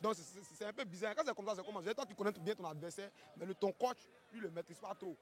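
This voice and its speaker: A man with an Ivorian accent giving colloquial live fight commentary, excitable, repeating phrases and adding tag questions. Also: high voice, fast. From Ivory Coast.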